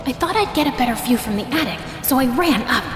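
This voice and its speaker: A woman's voice: high voice, expressive, quick pace, a bit bright. A woman playing a passionate, wronged young stepsister, furious and heartbroken, telling her story dramatically. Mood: tense, sorrowful. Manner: intense, emotional delivery. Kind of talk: dramatic first-person story narration. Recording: reverberant voice over prominent music.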